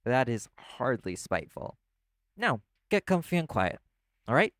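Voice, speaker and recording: A man playing a laid-back, caring vampire in an audio roleplay, talking the listener toward sleep in a casual, gently instructive way. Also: medium pitch, very animated, unhurried, no echo, clean studio sound. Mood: calm, soothing. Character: laid-back male vampire companion, teasing yet caring.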